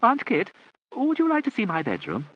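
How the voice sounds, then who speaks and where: A man's voice: high voice, wildly swooping pitch, normal speed, phone-quality sound, voice pushed up, full character voice. A man reading an audiobook, voicing a gushing, flustered aristocratic mother with an effusive, breathless manner.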